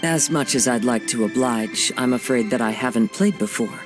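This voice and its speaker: A man voicing a calm, humble young samurai poet who speaks softly and politely, in a video game cutscene. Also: medium pitch, normal speed, lightly acted. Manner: soft-spoken, polite, humble. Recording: game audio with music behind.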